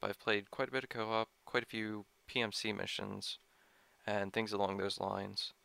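Young man, casual gaming commentator, low gravelly voice with a bright edge. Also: level tone, moderate pace, no room sound. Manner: casual, chatty, self-deprecating. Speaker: gameplay commentator. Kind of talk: let's play commentary.

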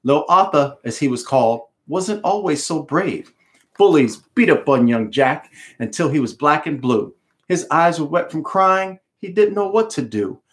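A middle-aged American man giving an expressive storybook read-aloud with explanatory asides, speaking emphatically with dramatic pauses. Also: fairly high pitch. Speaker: author reading his book aloud. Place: home room with bookshelves, indoors.

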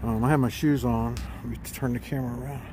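A man in his forties gives a relaxed, plain-spoken walkthrough of a tent, filming himself on a phone outdoors in a backyard. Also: mid-range voice, fast. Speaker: gear reviewer. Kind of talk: casual product walkthrough. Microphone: phone camera, handheld and at arm's length. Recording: phone recording outdoors with background noise.

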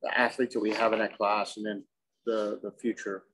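A middle-aged man, a coach answering questions at a postgame press conference in a measured, halting way, often repeating words. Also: on the low side, little pitch movement, fairly bright tone, slight background noise, poor audio. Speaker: softball coach. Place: indoor press conference room with curtain backdrop.

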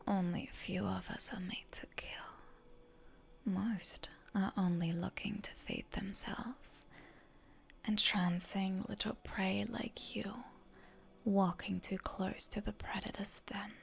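A seductive vampire huntress, acted by a woman whispers in a roleplay ASMR. Her voice is breathy and deeply creaky, crackling with fry. She speaks slowly and deliberately, teasing like a predator toying with prey. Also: little pitch movement.

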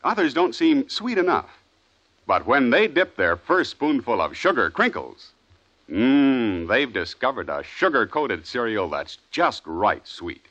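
An American man working as a 1950s radio announcer, with a polished sales-pitch delivery. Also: big pitch swings, measured pace, a bit bright. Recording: dull old radio recording.

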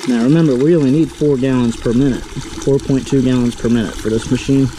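Man, mid pitch, casual how-to talk, noisy outdoor camera audio. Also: steady tone, a little fast. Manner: chatty, talks while working, addresses viewers. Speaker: pressure washing business owner. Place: outdoors under a metal carport shelter. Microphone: handheld action camera, point of view. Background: rain and running water.

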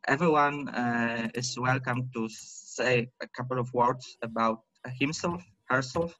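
Call host, slow halting man, dry close sound, earphone mic. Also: medium pitch, fairly bright tone, dry recording, poor audio. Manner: halting, many pauses and fillers. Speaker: meeting host. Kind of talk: video call meeting.

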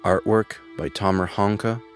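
A man with a very low voice reads a scripted list of credits. He works as an announcer, with faint music playing underneath. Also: steady tone, normal speed.

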